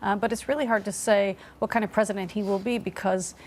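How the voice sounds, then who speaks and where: A woman's voice: mid-range voice, fairly even intonation, fast, darkish tone, slight background noise. A woman giving analysis on a news panel in a conversational, explanatory way. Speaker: political analyst, studio guest.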